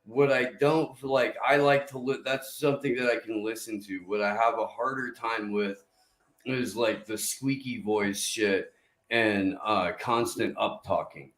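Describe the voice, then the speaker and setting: A man's voice: medium pitch, fairly even intonation, a little slow, fairly bright tone, roomy sound, rough recording. A man hosting a podcast in casual chat, profane and rambling. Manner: profane, laid-back, rambling. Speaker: podcast host.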